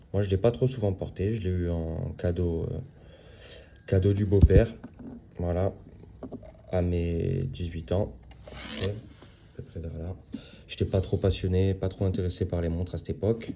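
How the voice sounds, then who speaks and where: A man's voice: deep voice, normal speed, vocal fry, long pauses, noisy background. A man in his thirties giving a casual, hesitant unboxing review of a watch, with many fillers and restarts. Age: 30s.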